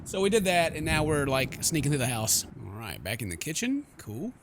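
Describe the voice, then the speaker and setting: A man doing excitable, jokey let's play game commentary, exclaiming and mimicking the characters. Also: a little high, brisk, a little hiss or hum. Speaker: gaming YouTuber, let's play host.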